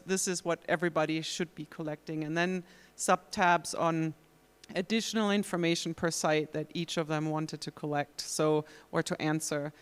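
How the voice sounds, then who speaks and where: A conference panelist explains a technical setup step by step. The voice is smooth and clear, with very little rise and fall. It echoes in a reverberant hall. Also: medium pitch. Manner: steady, methodical explanation.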